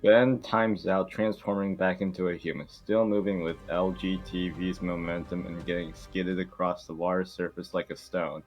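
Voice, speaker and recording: A man's voice: low-pitched, steady tone, normal speed, hardly any pauses, soft background music. A man reading stage directions as narrator in an informal amateur script reading.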